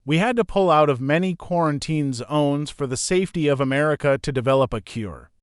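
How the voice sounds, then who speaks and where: A man's voice: on the high side, a little fast, smooth, dry recording, studio quality. A man reading a scripted emergency broadcast as the announcer.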